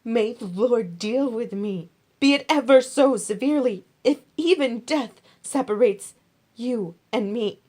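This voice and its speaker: Woman acting fervent young widow, pitched up. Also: darkish tone. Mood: fervent, resolute. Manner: fervent, emphatic, deliberate vowing. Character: devoted, resolute young widowed daughter-in-law. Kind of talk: dramatic Bible storytelling.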